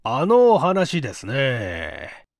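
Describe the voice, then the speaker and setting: A man's voice: medium pitch, huge pitch swings, creaky, dry recording, pitched below the performer's own voice, heavily acted. A man voicing a shrewd, self-assured police chief who questions politely but emphatically, in a story reading. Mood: probing. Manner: deliberate, emphatic, leading questioning. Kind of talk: acted dialogue in story reading.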